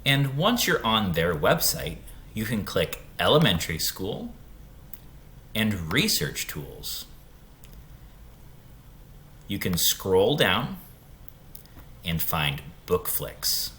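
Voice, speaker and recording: A man's voice: deep voice, big pitch swings, slow pace, long pauses, a bit bright. A man giving an informal step-by-step screen tutorial for young students. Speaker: school tech tutorial presenter.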